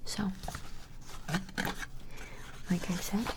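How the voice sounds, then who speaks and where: A woman whispering softly and gently in an ASMR personal-attention role play, hesitant and reassuring. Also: very slow, a little hiss or hum. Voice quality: soft breathy whisper with fry. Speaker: ASMR performer playing an appraiser taking measurements.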